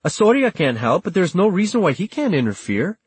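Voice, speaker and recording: A man voicing a calm, frank young woman who speaks in a measured, earnest way in an audiobook. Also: high-pitched, slightly rough, no echo, pitched above the performer's own voice, exaggerated voice. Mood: urging. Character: calm, frank young woman, reasoning plainly with her family.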